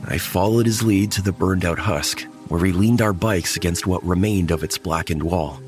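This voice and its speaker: Male narrator, very low, tense first-person horror read, music bed behind. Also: moderate pace, a little mellow. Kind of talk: horror audio drama narration.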